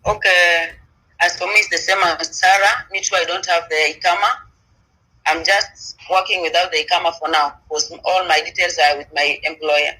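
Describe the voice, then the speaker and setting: A woman's voice: lowish voice, fairly even intonation, normal speed, bright, forceful tone, slightly rough, low-quality recording. A woman from Kenya joining a panel by phone, speaking in plain, short, direct sentences. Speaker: panel guest, migrant domestic worker. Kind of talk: answering questions on a panel. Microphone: phone on a messaging-app call.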